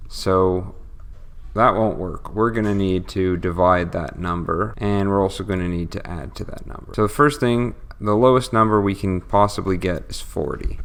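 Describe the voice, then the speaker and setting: Gravelly-voiced man explaining a circuit. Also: sits low, fairly even intonation, normal speed. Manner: patient, explanatory, thinks aloud. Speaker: electronics instructor. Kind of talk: step-by-step tutorial.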